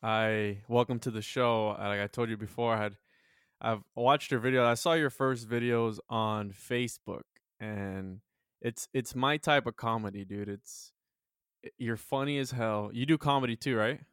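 A man in his twenties hosting a podcast, talking earnestly and philosophically with many halting restarts. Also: medium pitch, fairly even intonation, fairly bright tone, clean tone, dry recording, studio quality. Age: 20s. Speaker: podcast host. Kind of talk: casual podcast chat.

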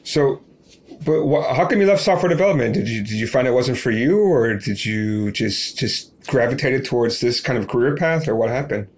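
A man's voice: mid-range voice, expressive, normal speed, breathy edge. A man hosting a podcast, talking casually as he interviews a guest. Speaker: podcast host.

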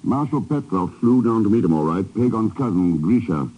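An actor playing a cool, resolute secret agent with a dry, understated manner in a 1950s radio drama. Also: male voice, fairly low pitch, expressive, moderate pace, slightly rough. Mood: wry, cool. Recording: dull, noisy old radio broadcast.

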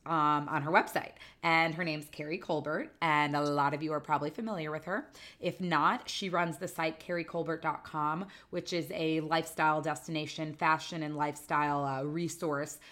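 A woman with a notably low voice hosts a podcast in a loose, chatty way. She is getting over a cold, so her voice is a little nasal. She fills her talk with "um" and "you know" and laughs easily. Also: fairly bright tone. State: recovering from a cold, slightly nasal. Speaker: podcast host. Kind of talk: casual chat. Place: apartment in New York City.

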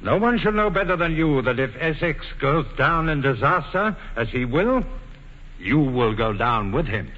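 A muffled old radio recording with a long reverb holds a cold, pragmatic conspirator of noble rank. He is deliberate and insinuating, with wide theatrical swings in pitch. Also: very animated. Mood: coolly persuasive. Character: cold, scheming, pragmatic male lord, a conspirator. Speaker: actor in a radio play. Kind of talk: 1940s radio acting.

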